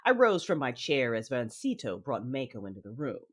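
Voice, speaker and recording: An actress playing a cold, commanding Sith mother who narrates her own story in a measured, menacing, controlled manner. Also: very deep voice, big pitch swings, husky, full character voice. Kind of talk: first-person audio drama narration with dialogue. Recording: music under the voice.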